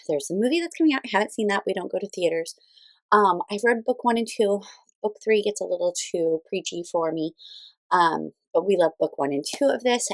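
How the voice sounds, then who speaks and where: A woman's voice: fairly low pitch, gravelly, no room sound, low-quality recording. A woman in her thirties giving casual book recommendations as a parent, informally and a little hesitantly, thinking aloud as she explains. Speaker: parent reviewing children's read-aloud books.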